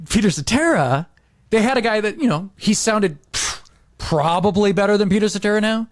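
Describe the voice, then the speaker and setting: High-pitched, animated man chatting. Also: normal speed, dry recording. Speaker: podcast host. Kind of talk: casual podcast chat.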